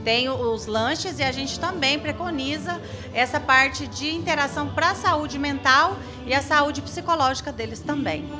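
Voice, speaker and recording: Higher-voiced Brazilian woman over background music. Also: unhurried. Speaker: social assistance centre staffer. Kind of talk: TV interview answers. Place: small indoor room. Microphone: handheld reporter microphone.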